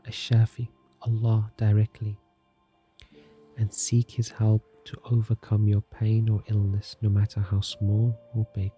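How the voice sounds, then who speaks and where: A man leads a guided meditation in a soft, soothing, scripted narration, calm and gentle, leaving long unhurried pauses. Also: on the low side. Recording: music behind the voice. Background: soft music.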